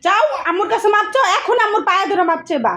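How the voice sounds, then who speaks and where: A woman playing a young husband caught between his wife and mother, pleading softly then putting on anger. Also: very high-pitched, lively intonation, rapid-fire, reverberant. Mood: fake-angry. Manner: hushed pleading asides, then loud fake scolding. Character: young husband, meek mama's boy, scheming. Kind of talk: comedy skit acting.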